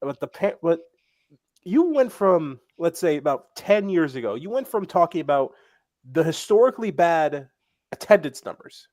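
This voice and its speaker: A man's voice: on the high side, very animated, moderate pace, a little mellow. A man in his twenties joking in casual chat as a co-host, with self-deprecating fan banter. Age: 20s.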